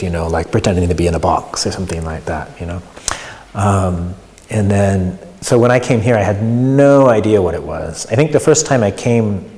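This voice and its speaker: Interviewee: American man, very low voice, thoughtful conversational delivery, hall reverb. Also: a little mellow. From United States. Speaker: community theatre participant. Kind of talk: reflective interview talk.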